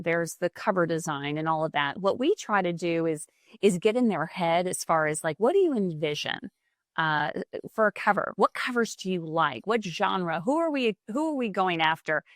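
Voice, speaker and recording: A woman who runs a publishing company, talking as a podcast guest in a quick, animated way with frequent restarts. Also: lowish voice, no echo, clean studio sound. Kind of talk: casual podcast conversation.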